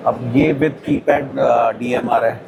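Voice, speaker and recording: A man's voice: mid-range voice, steady tone, very slow, gravelly, low-quality recording. Indian man presenting products over crowd chatter. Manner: frequent switching between Hindi and English. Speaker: product presenter for a radio equipment business. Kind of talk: explanatory product demonstration in conversation.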